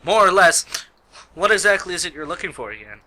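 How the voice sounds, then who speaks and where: A man's voice: sits high, big pitch swings, fairly quick, pushed and bright, full character voice. A man playing a dutiful police detective in an amateur radio play, speaking in a plain, matter-of-fact way. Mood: agreeable. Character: male police detective, dutiful sidekick reporting to lead. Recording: noisy amateur home recording.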